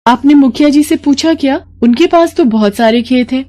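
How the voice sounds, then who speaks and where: Woman playing an earnest young village daughter-in-law, high-pitched. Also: moderate pace, dark tone, natural voice. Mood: concerned. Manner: pleading, eager, respectful. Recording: noticeable background noise.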